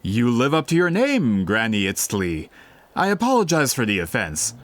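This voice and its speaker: A man playing a suave, scheming gentleman employer in an anime-style video game, polished, formal and flattering. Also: medium pitch, moderate pace. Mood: persuasive, flattering.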